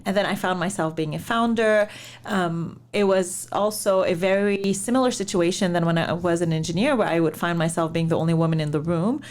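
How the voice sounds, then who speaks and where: A woman from Lebanon, a founder and investor, telling her life story in an interview in a measured, reflective way with many pauses. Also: on the low side, a little mellow, slight background noise. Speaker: guest, startup founder and investor.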